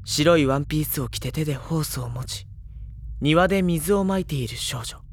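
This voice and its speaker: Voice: medium pitch, animated, moderate pace, a little hiss or hum, close to an everyday voice. An actor playing a polite, level-headed teenage schoolboy in a Japanese audio drama, restrained and reflective in both dialogue and narration. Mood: observant. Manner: polite, restrained, matter-of-fact. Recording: studio drama with music behind. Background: background music.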